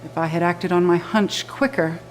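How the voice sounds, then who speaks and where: A woman's voice: lowish voice, fairly even intonation, normal speed, a little hiss or hum, lightly acted, low-quality recording. A woman in her thirties playing a stern, businesslike resistance officer, giving a serious briefing in a video game cutscene. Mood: grim, regretful. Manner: serious, clipped briefing delivery.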